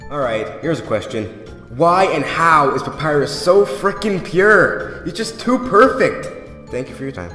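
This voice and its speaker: A man's voice: on the high side, very animated, a little slow, pushed and bright, soft background music, heavily acted. A man playing an eager, inquisitive fan reading out questions in a comic dub, with echoing reverb on the recording. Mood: gushing. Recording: echoey hall-like reverb.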